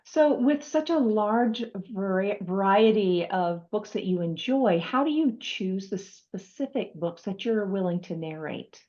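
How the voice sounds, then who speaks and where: A woman's voice: mid-range voice, expressive, a little slow, few pauses, mellow, muffled recording. A woman hosting a casual interview, asking questions in a conversational way. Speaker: interviewer and author.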